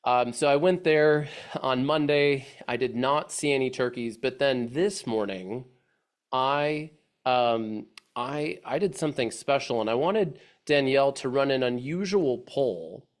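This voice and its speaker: A congested man with a stuffy nose, speaking at a middling pitch into a video call mic. He presents a webinar in a loose, chatty way, restarting sentences and stacking fillers. He comes across as a friendly, easygoing presenter. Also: measured pace. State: congested from allergies. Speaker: webinar presenter, agency head. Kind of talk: casual, rambling webinar presentation.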